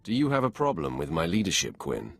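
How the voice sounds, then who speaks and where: An actor playing a skeptical, commanding dark lord in a video game, curt and authoritative in manner. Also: male voice, deep voice, wildly swooping pitch, fairly quick, full character voice. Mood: challenging, measured.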